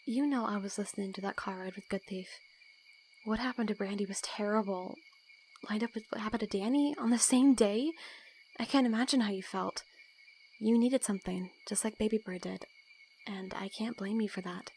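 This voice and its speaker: A dry-witted young woman in an audio drama, played by an actress. She talks bluntly and teasingly, keeping her guard up in casual dialogue, with clear background noise and crickets around her. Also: mid-range voice, moderate pace, a little mellow, natural voice, rough recording. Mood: sincere, subdued.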